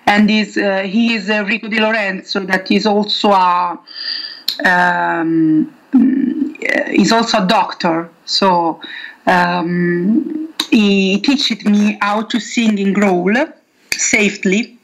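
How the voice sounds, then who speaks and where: A young woman with an Italian accent, answering interview questions haltingly, with many pauses and restarts. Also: medium pitch, steady tone, poor audio. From Italy. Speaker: metal band singer.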